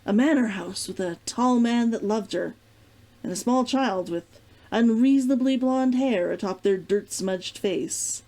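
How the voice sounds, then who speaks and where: A woman reading fiction aloud as an expressive storybook narrator. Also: on the high side, unhurried. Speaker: reader of a fiction read-along.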